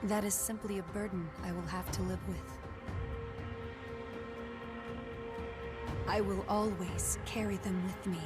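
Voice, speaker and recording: An actress playing a solemn, remorseful young woman in a video game, speaking in a measured, earnest, grave way. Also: medium pitch, fairly even intonation, lightly acted. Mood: remorseful, resolute. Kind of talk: dramatic video game voice acting. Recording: loud music under the voice.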